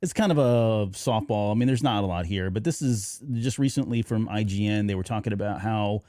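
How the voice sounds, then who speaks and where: A man's voice: lowish voice, fairly quick, mellow, no room sound, studio quality. A middle-aged man hosting a casual fan podcast, chatting in a rambling, conversational way. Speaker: podcast host.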